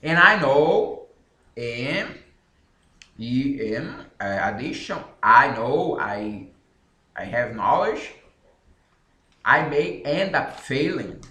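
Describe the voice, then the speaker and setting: A man from Brazil speaking English with a Brazilian Portuguese accent, talking casually and haltingly, correcting himself as he goes. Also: mid-range voice, very animated, bright, forceful tone, reverberant. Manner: halting, self-correcting non-native English with frequent pauses. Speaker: independent author. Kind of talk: casual explanatory talk to camera.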